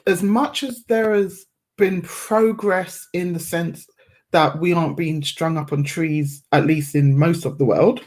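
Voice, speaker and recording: Measured, thoughtful woman podcast guest. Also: lowish voice, steady tone, a little mellow. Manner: measured, chooses words carefully. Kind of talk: reflective conversational interview.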